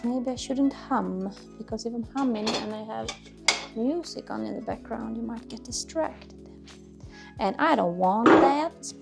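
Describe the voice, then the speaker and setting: Chatty Swedish-accented woman, gravelly edge. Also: fairly high pitch, measured pace. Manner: chatty, searching for words, restarts sentences. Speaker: cleaning vlogger. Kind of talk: casual chatty talk to camera while cleaning. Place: home kitchen. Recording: music playing underneath. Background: dishes clinking, quiet music.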